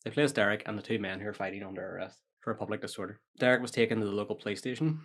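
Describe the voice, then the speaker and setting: A man hosting a podcast, reading out a prepared story in a casual way with offhand asides. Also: fairly low pitch. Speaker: podcast host telling true-or-false stories.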